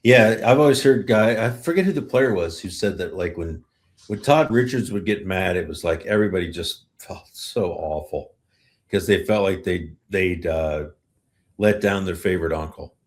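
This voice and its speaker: A man hosting a casual sports podcast, speaking informally and haltingly as he works out his thoughts. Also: sits low.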